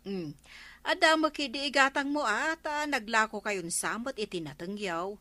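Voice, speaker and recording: A woman's voice: on the high side, expressive, normal speed, sharp tone, no room sound. A woman with an Ilocano Filipino accent playing a chatty neighbour in a comedy radio drama. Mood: eager, teasing.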